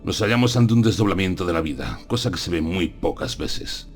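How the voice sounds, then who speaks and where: An actor playing a solemn, learned old professor who hunts vampires, explaining things deliberately in a gothic audiobook drama. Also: male voice, low-pitched, expressive, soft background music. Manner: solemn, didactic, deliberate phrasing.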